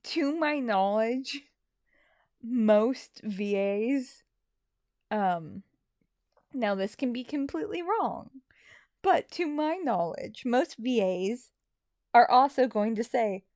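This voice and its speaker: A woman's voice: on the high side, expressive, takes it slowly, pauses often, a little mellow, no room sound, studio quality. A tired woman chatting casually as she hosts a live stream, using mild, folksy exclamations. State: a little tired. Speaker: live stream host and amateur voice actor.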